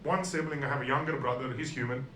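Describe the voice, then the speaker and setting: A man delivers stand-up in a dry, self-deprecating way, often stumbling and restarting his sentences. His voice sits at an ordinary middle pitch. The room is echoey, with a faint hiss under him. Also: steady tone, fast talker, fairly bright tone. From Estonia. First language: Russian and Estonian. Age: 40s. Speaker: stand-up comedian. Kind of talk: stand-up comedy set.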